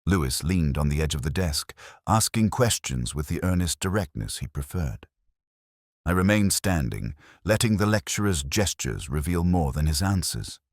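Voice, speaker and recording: A man with an extremely deep voice narrates a detective story in the first person. His delivery is measured and brooding, with plenty of rise and fall, recorded close and dry. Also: big pitch swings, no echo.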